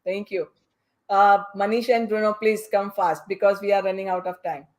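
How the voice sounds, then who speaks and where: A woman hosting a panel discussion, brisk and hurrying as she prompts for short answers. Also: mid-range voice, steady tone, a little mellow. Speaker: podcast host and moderator.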